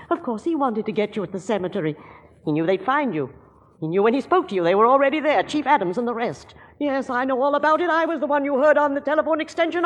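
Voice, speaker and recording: An actress playing a bitter, obsessive, middle-aged murderess in 1940s radio drama, intense and confessional. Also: female voice, mid-range voice, highs cut off, close to an everyday voice. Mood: scornful, intense. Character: murderous aunt and mother, bitter, obsessive, chillingly calm. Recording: reverberant 1940s radio broadcast.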